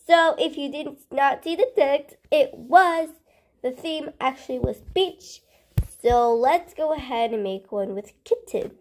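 A woman with a fairly high voice casually narrates her gameplay as a channel host. She speaks very slowly and hesitantly, thinking out loud. Her sentences often trail off into soft murmurs.

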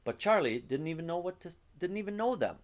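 A middle-aged man reading a children's picture book aloud at home in an expressive, dramatic storytelling manner. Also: on the high side, fairly quick. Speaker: storybook reader. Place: living room at home. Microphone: webcam at about arm's length.

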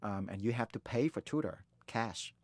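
A man in his twenties from Vietnam with a Vietnamese accent, telling his life story in a candid conversation, earnest and halting, often restarting his sentences. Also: lowish voice, darkish tone, dry recording. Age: 20s. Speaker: interviewee.

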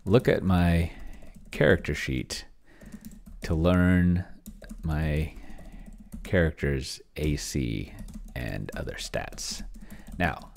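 A middle-aged man with a very deep voice and a lot of vocal fry talks casually through what he is doing on a computer. He thinks out loud, often muttering and trailing off, as someone hosting alone from a home desk. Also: extremely slow, long pauses, a little hiss or hum. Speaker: host. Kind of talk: casual thinking-aloud screen-share commentary. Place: indoor home room at a desk.

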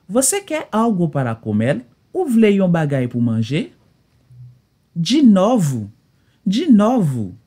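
Woman language teacher, Haitian Creole native, slow deliberate drills, very lively pitch. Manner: slow and deliberate, says each phrase twice then translates. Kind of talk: slow phrase drills, repeating each phrase.

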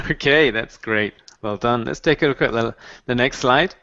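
A man's voice: medium pitch, bright, forceful tone. A man in his thirties teaching an online English mini-lesson, patiently modeling phrases over a headset on a video call. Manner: clear, patient, encouraging, models phrases slowly. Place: small room at home, video call. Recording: dull, dry video-call audio.

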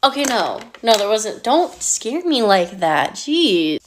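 A woman's voice: mid-range voice, very animated, slow pace. A woman performing a playful, self-mocking comedic skit. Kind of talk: comedic short-video skit.

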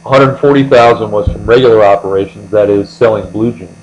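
A man's voice: fairly low pitch, a little fast, dull audio. An American man working methodically through an explanatory lecture as an accounting tutor, step by step. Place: indoors, voice-over on screen recording. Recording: steady mains hum.

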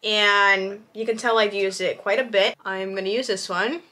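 A woman's voice: mid-range voice, steady tone, moderate pace, bright, forceful tone. A young woman in her twenties chatting casually to camera in her bedroom, bubbly and full of likes and ums. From New Jersey. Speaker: vlogger. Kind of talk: casual vlog chat to camera. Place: bedroom at home, indoors.

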